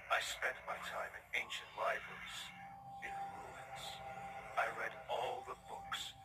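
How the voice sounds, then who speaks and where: A man voicing a sly, menacing sorcerer pony king in a dramatic comic dub, slow and condescending with a breathy, gravelly rasp. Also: mid-range voice, flat delivery, heavy background noise. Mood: brooding.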